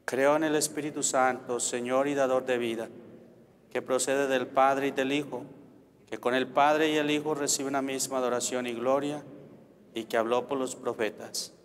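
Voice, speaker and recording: Priest reciting evenly in a reverberant church. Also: male voice, medium pitch, little pitch movement. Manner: solemn, measured, formulaic delivery. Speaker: priest leading Mass. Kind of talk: liturgical recitation and prayer. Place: large church sanctuary, indoors. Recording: reverberant church, background noise.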